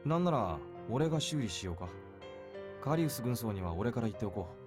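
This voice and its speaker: A man voicing a laconic young soldier in a Japanese visual novel, curt, cool and deadpan. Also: mid-range voice, very fast, music in the background, lightly acted. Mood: calm, helpful.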